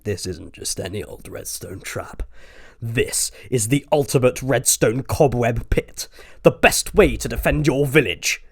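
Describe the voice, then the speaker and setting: A man's voice: mid-range voice, a little slow, breathy edge. Man playing a cocky, declamatory gamer hero. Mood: boastful. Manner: grandiose, declamatory. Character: cocky, heroic young male gamer adventurer.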